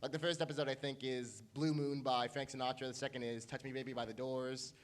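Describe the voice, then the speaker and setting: A man's voice: medium pitch, flat delivery, a little fast. A man chatting casually as a panelist at a convention, talking into a microphone. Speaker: panelist, audio editor. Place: convention meeting room with an audience.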